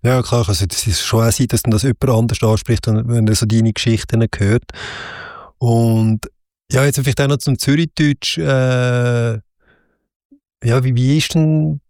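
A man's voice: mid-range voice, steady tone, normal speed, darkish tone, no echo, studio quality. A man with a Swiss German accent interviewing a musician in a casual chat, often ending his points with a questioning "oder?". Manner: chatty, ends points with "oder?".

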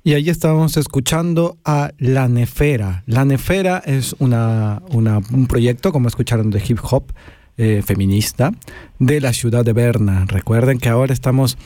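A man hosts an informal radio interview with a soft, mellow tone and medium pitch. His delivery is conversational and a little hesitant, recorded dry and close in a studio. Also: moderate pace, no room sound. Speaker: radio show host.

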